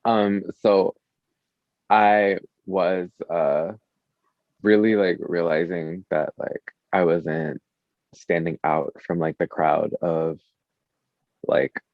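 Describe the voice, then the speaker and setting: A man's voice: sits low, little pitch movement, takes it slowly, pauses often. A man speaking as a music artist guest in a casual interview, informal and hesitant, leaning on filler words. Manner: hesitant, filler-heavy, informal speech with frequent "like". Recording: dull, echoey lo-fi room sound.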